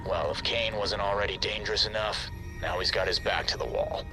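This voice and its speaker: An actor playing a steady, protective teammate in a video game, speaking in a calm, measured way. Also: male voice, fairly low pitch, natural voice, low-quality recording. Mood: calm, concerned. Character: steady, protective male teammate guiding over comms. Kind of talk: cinematic video game acting. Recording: loud music behind dialogue.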